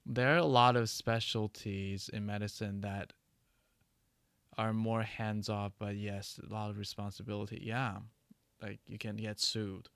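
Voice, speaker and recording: Gravelly-voiced man chatting on a livestream. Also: lowish voice, fairly bright tone, dry recording. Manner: halting, many restarts and fillers. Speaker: livestream host. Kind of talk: casual livestream chat answering viewer questions.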